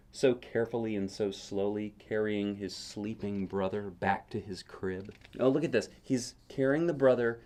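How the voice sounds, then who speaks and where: A man's voice: fairly low pitch, a little mellow. A man reading a picture book aloud as a dad would at bedtime, expressively, with casual, wondering asides.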